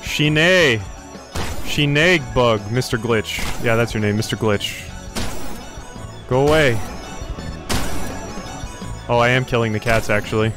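A man's voice: medium pitch, slow, long pauses, music in the background. A cheerful young man giving laughing commentary while playing a game. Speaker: let's play host. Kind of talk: gameplay commentary.

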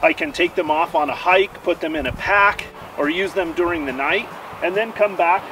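A man in his forties giving an enthusiastic, steady walkthrough of camping gear, recorded outdoors at a campsite. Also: a little high, moderate pace, noisy background. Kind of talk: product review. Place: outdoors in a dry juniper woodland campsite.

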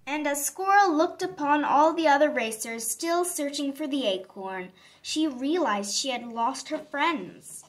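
Animated high-voiced woman reading a storybook. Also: unhurried, hardly any pauses. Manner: animated, dramatic emphasis for young listeners. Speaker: storybook reader. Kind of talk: expressive children's read-aloud narration. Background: background music.

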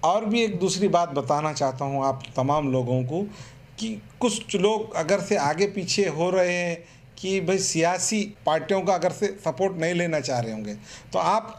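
A man's voice: high-pitched, a little mellow. A man giving an earnest, explanatory statement to the press. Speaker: political party representative. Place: small office room, seated at a table. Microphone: press microphones on the table.

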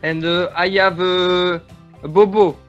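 A young man from France with a heavy French accent, searching for words in halting English. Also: sits high, level tone, fairly bright tone, muffled recording, faint music behind. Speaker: video chat stranger. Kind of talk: casual chat.

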